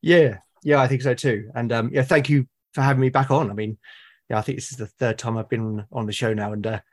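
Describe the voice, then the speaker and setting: A British man chatting as a podcast guest, thoughtful and halting as he builds his points. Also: mid-range voice, steady tone. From Britain. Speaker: podcast guest, game master and podcast creator. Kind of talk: casual interview conversation.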